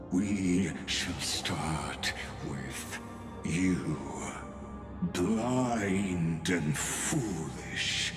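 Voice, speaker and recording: An actor playing a cold, menacing villain commander in a video game, speaking deliberately with theatrical cruelty. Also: male voice, deep voice, echoey room, exaggerated voice. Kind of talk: scripted video game acting.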